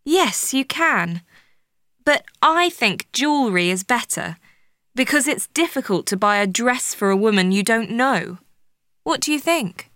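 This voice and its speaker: A woman in a paired speaking-task discussion, talking deliberately and prompting her partner with questions. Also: on the high side, animated, fairly bright tone, dry recording, clean studio sound.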